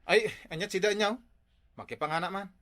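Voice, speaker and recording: A woman's voice: medium pitch, a little fast, a bit bright, lightly acted. A woman playing a lazy, sulky, hungry jobless idler in an Ilocano comedy drama, whining and argumentative. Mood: idle, cadging.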